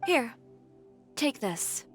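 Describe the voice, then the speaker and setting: A woman's voice: fairly high pitch, very animated, very slow, full character voice. A woman playing a cool, self-assured young fashion designer in a video game, composed, dry and blunt. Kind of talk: video game character acting. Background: background music.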